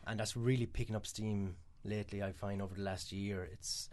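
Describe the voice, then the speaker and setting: This is an Irish man speaking as a guest on a radio interview. His voice sits a little low, and he talks fast and chattily, filling gaps with "um" and "uh". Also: steady tone, darkish tone. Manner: quick, chatty, many "um" fillers. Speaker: guest from a mental health charity.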